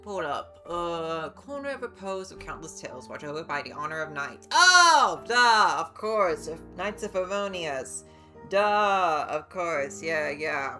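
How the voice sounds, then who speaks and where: A woman's voice: on the low side, sing-song, a little slow, pushed and bright. A woman livestreamer giving casual gameplay commentary, chatty and musing aloud. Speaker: game livestreamer. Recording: game music behind.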